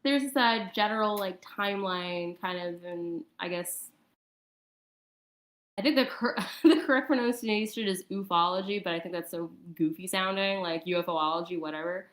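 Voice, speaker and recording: Casting: woman, lower register, halting conversational explainer, echoey room sound. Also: fairly bright tone. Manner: hesitant, halting delivery. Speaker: guest on a talk stream. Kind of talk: casual explanatory chat. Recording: roomy, echoing sound.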